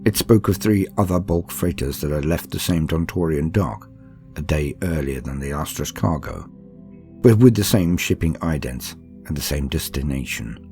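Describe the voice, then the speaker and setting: A man reading a book aloud as a calm, steady narrator, in a soothing, measured way suited to falling asleep. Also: very deep voice, faint music behind. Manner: measured, soothing, unhurried storytelling.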